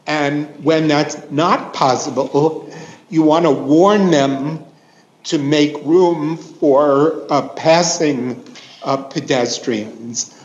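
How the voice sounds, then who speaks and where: A man with a slightly high voice speaks slowly and hesitantly, pausing on "uh" and "um". The recording is roomy and a bit dull. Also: a little hiss or hum. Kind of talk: panel discussion.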